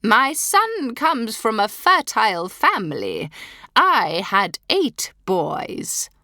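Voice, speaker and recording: A woman's voice: on the high side, huge pitch swings, slow pace, bright, forceful tone, no room sound, studio quality, voice pushed up, exaggerated voice. A woman reading the part of a haughty, imperious old dowager duchess with a cold, cutting manner.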